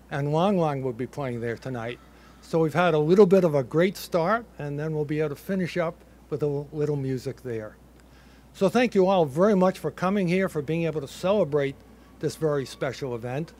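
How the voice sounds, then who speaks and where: An older man with a Boston accent giving a measured, reflective ceremony speech from a podium, with a light touch of humor. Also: on the high side, a little mellow. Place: ceremony in a building under construction.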